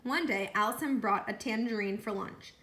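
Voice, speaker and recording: Echoey bright-voiced woman reading a storybook. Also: medium pitch, moderate pace, slight background noise. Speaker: children's book reader. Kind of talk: read-aloud storytelling narration. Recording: roomy echo.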